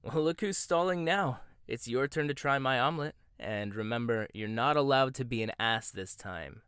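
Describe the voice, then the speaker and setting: A young man voicing a proud, sharp-tongued male chef in a roleplay audio, speaking close to the mic. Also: medium pitch, normal speed, clear, resonant tone, no echo, natural voice. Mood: bickering. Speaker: voice actor. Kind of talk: boyfriend ASMR roleplay.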